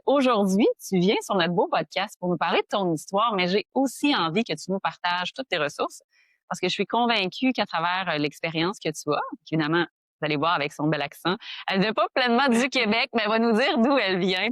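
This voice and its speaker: A woman's voice: mid-range voice, expressive, a little fast. A woman who hosts a podcast. She reads a scripted intro and then welcomes her guest, backing the talk with frequent small agreements. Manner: warm welcoming interviewer, frequent backchannels. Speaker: podcast host, hypnotherapist. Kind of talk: scripted intro, then casual interview hosting.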